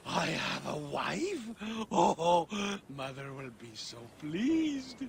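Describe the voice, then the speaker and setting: An actor playing a hapless, whiny, drunken husband in a comic video game scene, with a creaky voice. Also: male voice, a little high, wildly swooping pitch, extremely slow, a little hiss or hum, heavily acted. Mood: drunken, dismayed.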